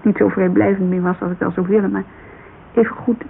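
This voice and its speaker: A muffled radio play recording with steady hiss. An actress plays a bereaved woman with a creaky voice. She confides in a halting, colloquial way, full of self-reproach. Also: mid-range voice, lightly acted. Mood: rueful. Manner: hesitant, colloquial, confessional. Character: grieving, self-reproachful woman confiding about her dead lover. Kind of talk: naturalistic radio drama dialogue.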